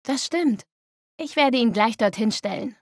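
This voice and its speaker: A woman playing an apologetic young mother in a clearly articulated language-lesson dialogue, speaking politely. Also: on the high side, expressive, a little slow, dry recording, studio quality.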